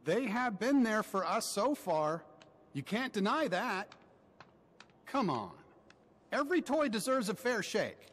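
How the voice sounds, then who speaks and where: A man's voice: high voice, very animated, a little slow, long pauses, fairly bright tone, full character voice. A man playing a friendly, loyal cowboy toy sheriff in a video game, earnest, emphatic and persuasive. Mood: persuading. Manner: pleading, emphatic. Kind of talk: animated video game dialogue. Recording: long hall reverb.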